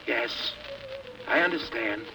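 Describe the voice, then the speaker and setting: A man's voice: mid-range voice, expressive, takes it slowly, noisy background. A man acting an agitated American test pilot in an old-time radio drama, on a vintage recording. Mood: dazed, grave. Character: agitated test pilot reliving a strange space encounter. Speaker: actor.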